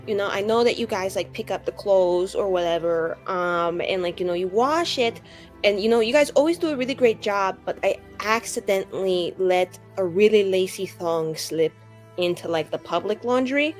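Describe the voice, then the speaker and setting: A woman's voice: mid-range voice, normal speed, close to an everyday voice. Woman playing a brash, rambling young female schemer. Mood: sheepish, pleading. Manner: rambling, slangy, cajoling with mock sincerity. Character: brash, sly, fast-talking young woman schemer, wheedling and teasing. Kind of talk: improvised tabletop roleplay dialogue. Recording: music behind the voice.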